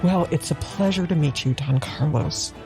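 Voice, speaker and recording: A woman's voice: low voice, normal speed, over music, poor audio. A woman playing a polite, hesitant scholar and musician turned adventurer in a tabletop game, courteous and easily amazed.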